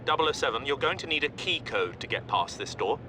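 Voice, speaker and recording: A man's voice: mid-range voice, expressive, fast, heavy background noise, close to an everyday voice. Actor as an efficient male mission handler. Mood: businesslike. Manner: formal, instructional. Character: efficient male agency handler guiding an agent. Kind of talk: game mission briefing.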